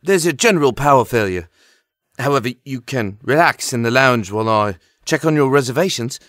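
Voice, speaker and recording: A man's voice: medium pitch, lively intonation, a little fast, fairly bright tone, no echo, studio quality, natural voice. A man playing a polite, formal, deferential hotel receptionist in an audio drama. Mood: courteous. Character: courteous, soothing male castle hotel receptionist.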